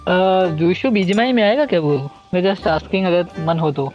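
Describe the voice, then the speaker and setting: A man's voice: sits high, lively intonation, rapid-fire, darkish tone. A man with an Indian accent chatting casually with viewers as a game livestreamer. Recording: dull lo-fi stream audio. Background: game music.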